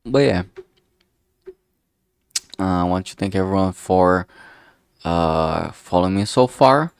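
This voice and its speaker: A man thinking aloud in a halting way, chatting casually on a solo livestream as he works. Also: low voice, vocal fry, clear, resonant tone, dry recording. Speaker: streamer making a visual novel. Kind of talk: casual solo livestream chat while working.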